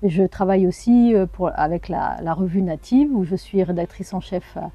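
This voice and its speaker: A French woman in her forties, a journalist and anthropologist, telling her life story in an interview with thoughtful pauses and hesitations. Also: on the low side, dark tone, dry recording, dull audio. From France. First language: Arabic. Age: 40s. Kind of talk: personal interview.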